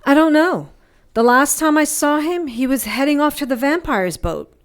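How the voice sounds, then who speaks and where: A woman's voice: high voice, animated, moderate pace. A woman playing a tough, wounded adventurer in an audio drama, telling her story in a wry, sardonic, colloquial way. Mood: matter-of-fact.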